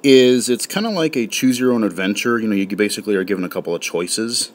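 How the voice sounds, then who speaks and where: Low-voiced man demoing an app, rambling. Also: animated, fast. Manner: rambling, filler-heavy. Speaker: game reviewer. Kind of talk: casual spoken app walkthrough.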